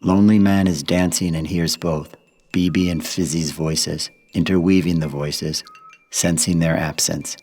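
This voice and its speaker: A man narrating a poetic radio play in a solemn, deliberate manner. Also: deep voice, steady tone, darkish tone. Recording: long reverberant hall, mallet music behind.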